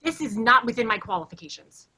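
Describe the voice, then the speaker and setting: Sardonic female office worker for the stage, acted by a woman, theatrical, bright and very animated. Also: a little high, fast, slightly rough, exaggerated voice. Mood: irritated, sarcastic. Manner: sarcastic, theatrical. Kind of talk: staged play reading.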